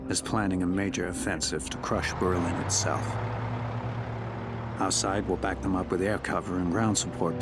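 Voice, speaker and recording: A weary American paratrooper sergeant narrates his wartime journal in a low, grave voice. He sounds measured and resolute, in the manner of a World War II game cutscene, with loud music underneath. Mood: grim, reflective. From North Dakota, United States. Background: prominent music.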